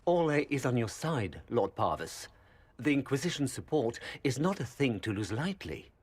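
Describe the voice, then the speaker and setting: A man's voice: mid-range voice, big pitch swings, normal speed. A man playing a courtly, diplomatic duke in a video game, speaking in a formal, polished, ingratiating manner. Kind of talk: video game character acting.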